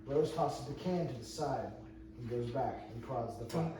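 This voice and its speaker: A man's voice: mid-range voice, moderate pace. A man reading stage directions aloud at an amateur table read, plainly and with some stumbles over phrases. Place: indoor room with a few other readers. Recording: echoey room, distant mic, noisy.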